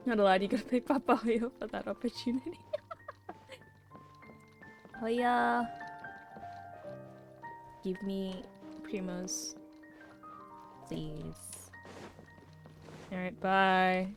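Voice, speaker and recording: A woman's voice: mid-range voice, steady tone, extremely slow, vocal fry, pauses often. A woman streaming a game, giving casual, reactive live commentary in a giggly way. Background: game music and dialogue.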